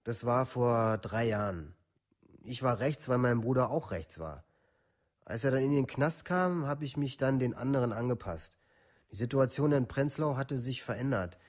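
An actor playing a troubled village youth from a far-right scene in a radio play, speaking colloquially in short clipped phrases. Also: male voice, medium pitch, expressive, moderate pace, lightly acted. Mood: matter-of-fact. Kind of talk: documentary radio play monologue.

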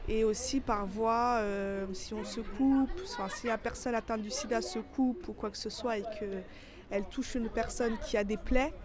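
A woman's voice: fairly high pitch, fairly even intonation, a little slow, crowd noise. A young woman answering a vox pop in a building lobby, hesitant, with many fillers, into a handheld mic. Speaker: passer-by interviewed. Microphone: handheld mic held by interviewer.

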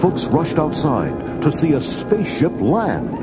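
A man's voice: on the high side, huge pitch swings, measured pace. A man reads a scripted storybook narration. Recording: loud music under the narration.

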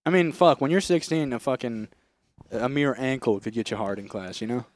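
A man's voice: medium pitch, fairly quick, dry recording. Young man chatting in a dry-sounding studio. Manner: crude, swearing anecdote-teller. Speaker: podcast co-host. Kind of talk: casual comedic podcast chat.

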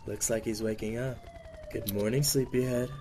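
A man's voice: medium pitch, fairly even intonation, moderate pace, warm tone, a little hiss or hum, lightly acted. A man voicing an upbeat, confident, teasing doctor in a comic fan dub. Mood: breezy. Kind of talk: dubbed comic dialogue.

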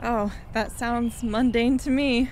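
A woman's voice: fairly high pitch, fairly even intonation, slow pace, darkish tone, a little hiss or hum. A woman playing a breezy young friend, slightly guarded, in an amateur audio drama. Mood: casual.

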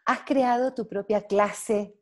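A woman's voice: a little high, fairly even intonation, slow. A woman from Argentina with an Argentine accent, interviewing on a video call in a friendly way, laughing and searching for words. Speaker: interviewer, facilitator.

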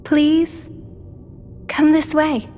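An actress playing a gentle, wistful, mysterious young girl in a video game, with a soft, light, girlish voice. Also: high-pitched, completely flat delivery, drawn-out words, in a large hall, soft background music. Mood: calm, solemn. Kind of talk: video game cutscene acting.

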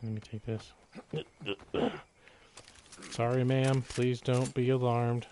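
A man's voice: mid-range voice, flat delivery, takes it slowly, dark tone, a little hiss or hum. A man doing casual live gameplay commentary, exclaiming, swearing and muttering jokey remarks to himself. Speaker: video game live streamer.

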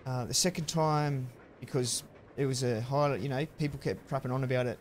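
This is a laid-back Australian man in his thirties, chatting to viewers on a headset mic during a live game stream. His pitch sits in the middle of the range, and his easy chatter now and then jumps into loud shouts. A faint hum runs behind him. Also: steady tone, a little fast. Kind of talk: casual live-stream chat while gaming.

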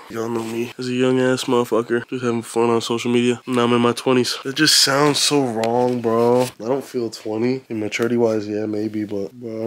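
A twenty-year-old American man vlogging casually, with slangy, crude, teasing talk and plenty of swearing. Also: fairly low pitch. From New Jersey, United States. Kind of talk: casual vlog chat to camera, heavy swearing. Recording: noisy handheld vlog audio.

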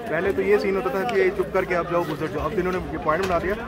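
Male travel vlogger, chatty guide voice a bit on the high side, recorded outdoors amid a crowd. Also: fairly even intonation, fast. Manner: chatty, pointing things out while walking. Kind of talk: casual walking tour vlog. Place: busy hill-town street outdoors. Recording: crowd noise around the speaker. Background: crowd of passers-by.

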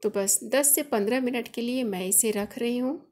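A woman's voice: a little high, normal speed, darkish tone. Friendly woman talking through a recipe. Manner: friendly instructive, chatty home-cook explaining. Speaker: cooking channel host. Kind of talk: recipe voice-over narration.

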